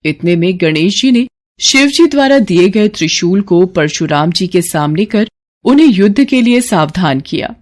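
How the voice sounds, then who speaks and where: A woman's voice: medium pitch, animated, normal speed. A woman narrating a scripted devotional story as a storyteller.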